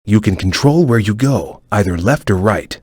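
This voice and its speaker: Low, husky, watchful game ninja. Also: male voice, lively intonation, darkish tone, no room sound, studio quality. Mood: cautious, instructive. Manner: measured, formal, serious and advisory. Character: stern, watchful cartoon ninja guide, calm and cautious. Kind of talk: video game voice acting, short hint lines.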